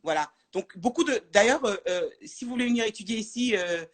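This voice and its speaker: A woman's voice: fairly high pitch, animated, brisk. A woman from France, talking as a guest in a casual live interview, hesitant and full of fillers and restarts.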